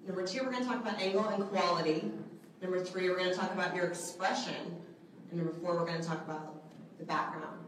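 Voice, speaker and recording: A woman with a soft, slightly low voice teaches a small group in a very echoey room, her voice picked up from a distance on a handheld mic. She keeps it casual and chatty, checking in with listeners often, and faint room noise sits underneath. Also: fairly even intonation, quick pace. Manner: chatty, asks the group questions, many okays and rights. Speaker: trainer teaching a selfie workshop. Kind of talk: live instructional talk to a group. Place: indoor room with a large window, small audience present. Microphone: handheld microphone.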